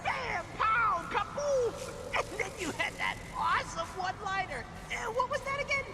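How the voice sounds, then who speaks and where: Eager, high-pitched little robot sidekick. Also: male voice, lively intonation, moderate pace, background noise. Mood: excited, gushing. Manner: gushing, eager, deferential. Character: excitable, loyal, nervous small robot assistant. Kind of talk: animated video game cutscene acting.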